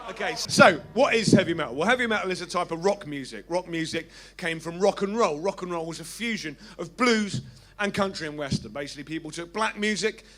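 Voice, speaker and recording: A stand-up comedian in his thirties, working a dark club on a handheld mic. His voice sits fairly high for a man, and he talks in a sardonic, sweary way, now and then shouting lines at the audience. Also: a little fast, few pauses, background noise. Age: 30s. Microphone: handheld stage mic.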